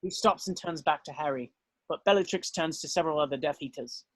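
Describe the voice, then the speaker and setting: A man's voice: on the high side, fairly quick, dry recording. A man reading stage directions as narrator in a scripted style.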